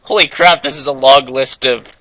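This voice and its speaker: A man's voice: mid-range voice, moderate pace, bright, forceful tone, no echo, poor audio. A man in a casual group chat, giving blunt, sweary commentary as co-host of a fanfiction reading. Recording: faint background noise and clicks.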